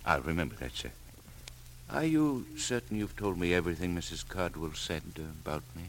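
Low-voiced, guarded, deferential manservant. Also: male voice, sing-song, fairly quick. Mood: deferential, probing. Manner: formal, deferential, careful. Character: polite, guarded male servant with hidden motives. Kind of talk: classic radio drama acting. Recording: humming, noisy vintage radio recording.

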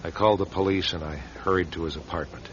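An actor playing a plainspoken, world-weary loyal friend in a radio drama. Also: male voice, sits low, steady tone, fast talker, lightly acted. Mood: somber recounting. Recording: dull, noisy radio recording.

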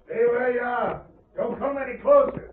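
High, strained cornered outlaw, distant. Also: male voice, fairly even intonation, normal speed, narrow, tinny audio. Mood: desperate, threatening. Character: desperate, defiant cornered western outlaw. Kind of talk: 1940s radio drama acting. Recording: distant, echoey, noisy old radio broadcast.

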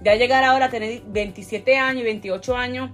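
A young Dominican woman with a Dominican accent, co-hosting a casual podcast chat, lively and laughing often. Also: a little high, brisk, soft background music. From Dominican Republic. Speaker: podcast co-host.

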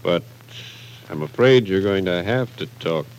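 An American actor playing a patient police captain, questioning with measured persistence in an old radio drama. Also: male voice, low voice, lively intonation, poor audio. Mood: patient, probing. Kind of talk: 1940s radio drama acting.

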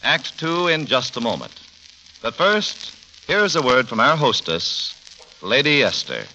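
A man's voice: mid-range voice, sing-song, unhurried, bright, forceful tone, a little hiss or hum. A man announcing a 1940s radio drama in a formal, theatrical, scripted style. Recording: muffled vintage radio recording. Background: music.

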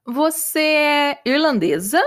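A woman hosting a language lesson podcast, asking simple questions slowly and deliberately and repeating them for learners. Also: sits high, animated, fairly bright tone. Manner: slow, deliberate, repetitive questioning. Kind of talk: scripted question-and-answer teaching story.

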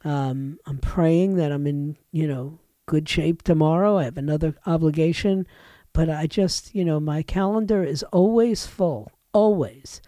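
A woman's voice: deep voice, a little slow, dark tone, no room sound, studio quality. Chatty woman radio host with a cold. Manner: chatty, rambling, self-interrupting. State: has a head and chest cold. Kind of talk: casual talk radio monologue.

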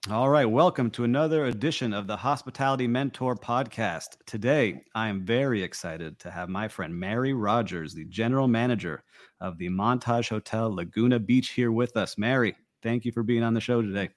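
A man's voice: fairly low pitch, moderate pace. A man hosting a hospitality podcast, asking his guest friendly, casual questions. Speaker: podcast host.

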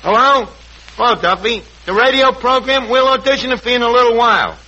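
A man's voice: very high voice, brisk, pushed and bright. An actor playing a brash, wisecracking tavern man with a New York accent who mangles fancy words, in 1940s radio comedy style. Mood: eager, blustery. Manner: streetwise slang and malapropisms. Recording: noisy, dull 1940s radio recording.

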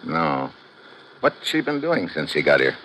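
An actor playing a tough, terse secret agent in a 1950s radio drama, speaking and narrating in a clipped, hardboiled way. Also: male voice, very deep voice, big pitch swings, a little slow, bright, forceful tone, slight background noise, full character voice. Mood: alert. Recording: muffled old radio recording, music behind.

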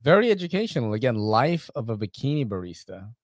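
A man from the Philippines hosts a podcast in a relaxed, profanity-laced chat while reacting to clips. His pitch swings widely and he laughs often. The sound is dry and close. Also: fast talker, no echo. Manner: laid-back, swears freely, laughs often. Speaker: podcast host. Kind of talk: casual chat reacting to videos.

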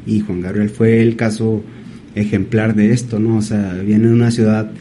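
A man, a painter as guest, telling stories in a thoughtful, halting way. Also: fairly low pitch, flat delivery, dark tone, a little hiss or hum. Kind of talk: casual interview storytelling.

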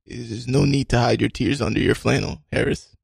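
A man's voice: medium pitch, normal speed, vocal fry, slightly rough, no room sound, clean studio sound. A man playing an awkward, stammering man at a support-group meeting. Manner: hesitant, stammering. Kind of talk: improvised comedy scene.

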